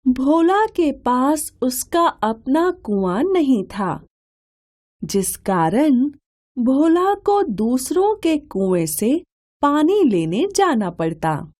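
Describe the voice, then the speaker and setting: A woman's voice: high voice, very animated, drawn-out words, darkish tone. A woman narrating a scripted children's story.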